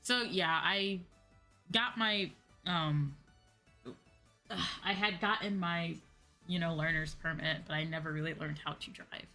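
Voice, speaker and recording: Bright, low-pitched American woman streamer. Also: unhurried, pauses often. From United States. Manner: chatty, informal, slangy, peppered with "like". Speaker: VTuber streamer, host. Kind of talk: casual livestream chat. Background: background music.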